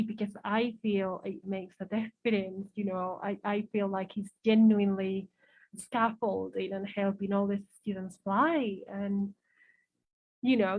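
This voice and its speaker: A woman with a Spanish accent speaks in a conversational seminar style at an average pitch. She comes across as an informal, encouraging teacher in discussion. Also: narrow, tinny audio. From Spain. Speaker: seminar speaker, English for academic purposes teacher.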